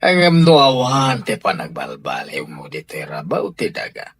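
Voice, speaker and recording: A man's voice: mid-range voice, wildly swooping pitch, normal speed, fairly bright tone, husky, heavily acted. A man with an Ilocano accent playing a firm, sanctimonious husband and head of household in a radio drama. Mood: preachy.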